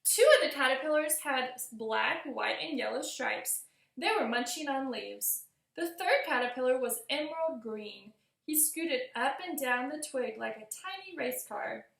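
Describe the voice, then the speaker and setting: A woman's voice: sits high, animated, measured pace, gravelly, a bit bright. A woman reading a storybook aloud expressively for young children, in the manner of a teacher addressing listeners. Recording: roomy echo.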